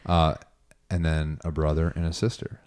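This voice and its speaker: A man's voice: rumbling low, little pitch movement, normal speed, darkish tone, clean tone. A man hosting a podcast, asking questions in an easygoing, teasing way, with many short "yeah"s and "mm-hmm"s. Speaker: podcast host. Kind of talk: casual interview chat.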